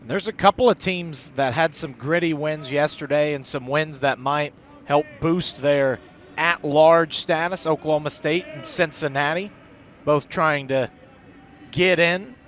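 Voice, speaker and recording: A man's voice: a little high, measured pace, fairly bright tone, a little hiss or hum. A man giving conversational, explanatory color commentary on a live baseball radio broadcast. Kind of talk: conversational live sports analysis. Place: outdoor ballpark broadcast.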